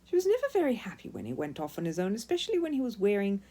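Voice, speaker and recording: A woman narrating a children's story in an expressive, emphatic read-aloud style. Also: mid-range voice, rapid-fire, slightly rough.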